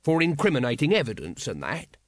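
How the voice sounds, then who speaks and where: A man voicing a small, sly corporal of a fantasy watch, quick, evasive and deferential. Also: a little high, wildly swooping pitch, dry recording, clean studio sound.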